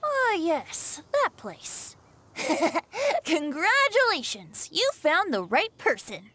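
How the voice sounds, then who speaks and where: A woman's voice: very high voice, huge pitch swings, extremely slow, a bit bright, a little hiss or hum, heavily acted. A woman voicing a breezy, mischievous boyish male bard in an anime video game, with a playful manner. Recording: faint music behind the voice.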